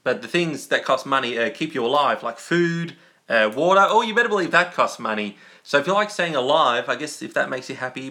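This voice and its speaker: A man's voice: mid-range voice, bright, forceful tone. An Australian man hosting a casual livestream, chatting in a rambling, jokey way and often interrupting himself. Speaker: livestream host.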